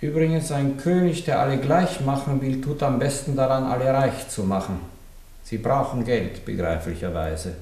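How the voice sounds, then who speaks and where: A man's voice: mid-range voice, warm tone, husky. An actor playing a cautious, insinuating adviser who warns the king in a radio play. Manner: measured, warning, insinuating. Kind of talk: 1970s radio play acting.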